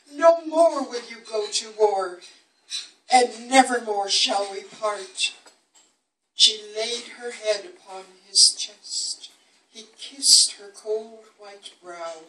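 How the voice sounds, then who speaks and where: A woman reading rhyming poems aloud at an event, with a measured, dramatic storytelling delivery. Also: mid-range voice, pushed and bright. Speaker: poet reading at a book launch. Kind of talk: recited rhyming poetry reading. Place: indoor book launch event. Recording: echoey room, distant mic, faint noise.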